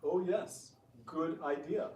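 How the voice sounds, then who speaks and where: A man playing a genial, trusting elder prince, measured and courteous, in a theatrical reading. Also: a little high, warm tone, a little hiss or hum. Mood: hearty. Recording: echoey room, distant microphone.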